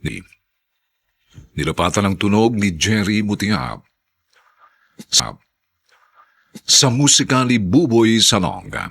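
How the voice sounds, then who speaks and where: A man with a Filipino accent narrating a radio drama in a solemn, theatrical, deliberate scripted style. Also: on the low side, creaky, fairly bright tone, breathy edge.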